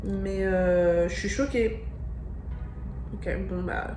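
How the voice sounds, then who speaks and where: Excitable young French woman vlogging. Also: mid-range voice, slow. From France. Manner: excitable, repeats exclamations, slangy. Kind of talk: casual vlog reaction. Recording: echoey room with faint music.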